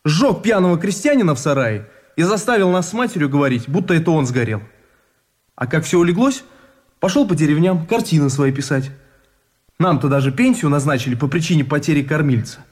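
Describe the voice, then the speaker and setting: A man as a high-voiced, bitter young soldier. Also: lively intonation, a little fast, darkish tone, close to an everyday voice. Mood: bitter, sardonic. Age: 20s. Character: bitter young non-commissioned officer facing execution. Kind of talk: radio play acting.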